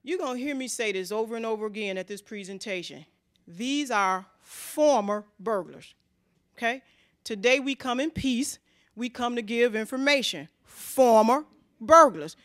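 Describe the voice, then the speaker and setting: A woman's voice: a little high, lively intonation, moderate pace, fairly bright tone. A middle-aged woman police officer giving an emphatic presentation to a community meeting in a hall. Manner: emphatic, repeats key points. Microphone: handheld microphone.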